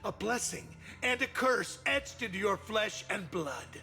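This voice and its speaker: A gravelly, sneering villain uncle. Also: male voice, sits high, expressive, a little slow, pushed and bright, soft background music, heavily acted. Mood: menacing. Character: scheming older man, villainous family patriarch uncle. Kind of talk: video game voice acting.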